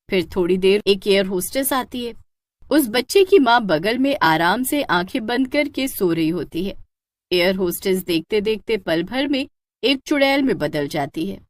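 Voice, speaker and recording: A woman storyteller reads a scripted cartoon horror tale. Her mid-pitched voice moves widely in intonation, with expressive storybook phrasing that drops at sentence ends, in a very dry recording. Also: dry recording.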